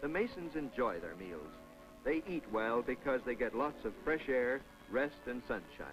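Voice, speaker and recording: A man narrates a vintage 1950s educational film over background music. His voice sits at a middle pitch. He is instructive yet folksy, slipping in conversational asides as he explains things to the viewer. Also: very animated, normal speed, muffled recording. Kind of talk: 1950s public information film narration, scripted.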